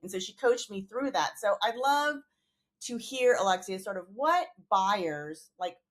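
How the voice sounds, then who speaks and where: A woman's voice: mid-range voice, lively intonation, a little slow. Upbeat woman hosting a podcast. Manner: enthusiastic, fills with "like". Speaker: podcast host, negotiation teacher. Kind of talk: casual podcast interview.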